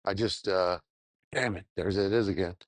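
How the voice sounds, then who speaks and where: A man's voice: deep voice, steady tone, dry recording. Man rambling casually, dry close recording. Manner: self-deprecating, halting, crude swearing. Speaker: vlogger. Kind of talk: casual rambling spoken journal.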